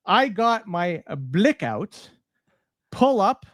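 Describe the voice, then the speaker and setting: A man's voice: high-pitched, sing-song, slow pace, fairly bright tone, no room sound, clean studio sound. A man with a Canadian accent co-hosting a podcast in casual, sweary, incredulous rants. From Toronto, Canada. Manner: profane, incredulous, ranting. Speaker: podcast co-host. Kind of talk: casual, unscripted podcast banter.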